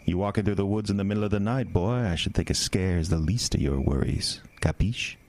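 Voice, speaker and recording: A man's voice: sits low, brisk, darkish tone. An actor playing a sly, menacing stranger who corners a boy in the woods at night, with teasing, old-fashioned phrasing. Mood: sly menace. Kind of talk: horror audio drama.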